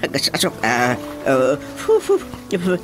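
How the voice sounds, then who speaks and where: A man's voice: mid-range voice, wildly swooping pitch, takes it slowly, music in the background. An actor playing a worried, complaining older father with an Ilocano Filipino accent, sighing and grumbling in a radio drama comedy. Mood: grumbling, flustered. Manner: sighing, grumbling, full of interjections.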